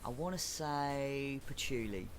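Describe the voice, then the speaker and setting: A man's voice: medium pitch, lively intonation, takes it slowly, slight background noise. A man with a British accent playing a wry, roguish island mechanic in a comic audio drama. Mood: wry, matey. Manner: breezy, wry, matey. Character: roguish island mechanic, breezy thieving sidekick.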